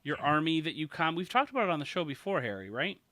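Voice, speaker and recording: Man, podcast host, upbeat, bright voice, fairly high, dry close mic. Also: sing-song, fast, dry recording. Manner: upbeat, laughs often, leads the talk. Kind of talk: casual gaming news chat.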